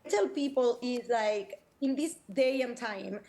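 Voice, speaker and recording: A woman's voice: fairly high pitch, unhurried, darkish tone. A woman from Argentina with an Argentine accent, a personal branding coach speaking eagerly in an interview over a video call.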